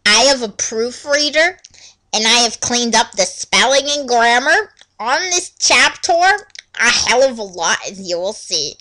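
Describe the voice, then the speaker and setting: A woman voicing an excitable teenage fangirl author, gushing in an exaggerated, mocking dramatic reading. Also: fairly high pitch, a little slow, poor audio. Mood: chirpy.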